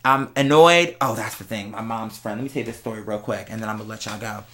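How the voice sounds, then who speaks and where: Casting: young adult American vlogger, bright forceful voice in a middle register, slangy confessional chat, candid and emphatic. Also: medium pitch, fast, slight background noise. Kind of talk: casual confessional vlog chat to followers.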